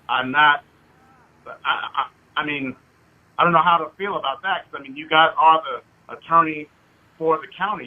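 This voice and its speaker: An American man speaking as a caller on a phone, in a hesitant, wordy but insistent way. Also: medium pitch. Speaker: caller, citizen activist. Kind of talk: recorded phone conversation. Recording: dull lo-fi phone call recording.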